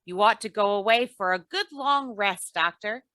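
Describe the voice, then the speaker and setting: A woman playing a patient, sensible, gently teasing housewife in old-time radio comedy, with a folksy, gently chiding manner. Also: mid-range voice, normal speed, dry recording, studio quality, close to an everyday voice.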